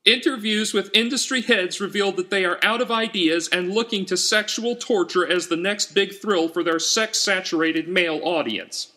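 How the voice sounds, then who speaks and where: A man voicing a shrill, indignant, squeaky woman journalist in an audiobook. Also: normal speed, hardly any pauses, sharp tone. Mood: strident indignation. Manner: strident, indignant. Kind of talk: audiobook character voice.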